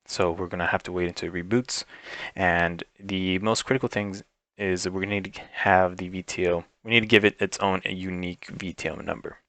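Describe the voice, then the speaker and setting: Very low-voiced man giving tech tutorial. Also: fairly even intonation, brisk, fairly bright tone, dry recording. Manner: informal, explanatory. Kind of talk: step-by-step software walkthrough, unscripted.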